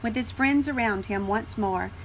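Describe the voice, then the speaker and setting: A woman reading a children's picture book aloud in an expressive storytelling style, acting out the dialogue. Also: on the high side, slight background noise, low-quality recording. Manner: measured, acts out dialogue.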